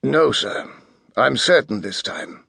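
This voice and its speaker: A man voicing a giant, loyal manservant and bodyguard, calm, brief and respectful, in an audiobook. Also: medium pitch, slow pace, creaky, no echo, studio quality, exaggerated voice. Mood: steady, respectful.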